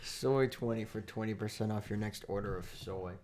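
A man hosting a podcast in casual chat, playfully argumentative and animated, talking into a studio mic. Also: lowish voice, normal speed, a little hiss or hum. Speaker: podcast host.

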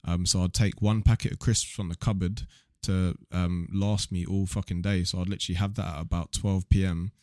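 A man's voice: low voice, level tone, brisk, few pauses, mellow, no echo, studio quality. A young man with a southern English accent chatting casually on a podcast in a blunt, slangy, sweary way. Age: young adult. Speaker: podcast guest, online video creator.

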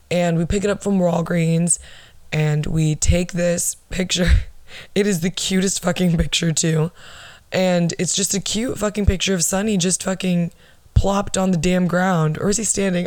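A young woman with a low alto voice, telling rambling, profane, self-mocking stories as a podcast host. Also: a little mellow, no echo. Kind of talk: casual storytelling monologue.

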